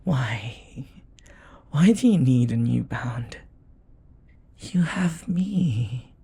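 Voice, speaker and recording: Jealous demon boyfriend for roleplay audio, played by a man: mellow, husky, intimate, formal and devoted. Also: a little high, no room sound, exaggerated voice. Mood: hurt, jealous.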